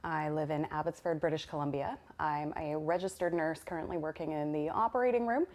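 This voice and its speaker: A woman who works as a nurse, telling her story in an interview, chatty and candid with quick bursts. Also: sits low, darkish tone. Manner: talkative, candid, quick bursts when explaining. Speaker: registered nurse. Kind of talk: interview testimony.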